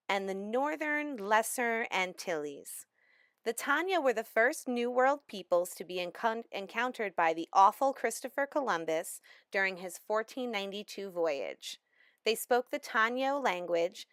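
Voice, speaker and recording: A woman giving a measured, explanatory lecture narration as a language instructor. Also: medium pitch, a bit bright, dry recording, studio quality.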